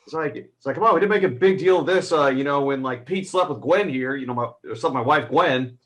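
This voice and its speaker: A man's voice: a little high, quick pace, fairly bright tone. A man chatting casually as co-host of a film review, rambling as he retells scenes.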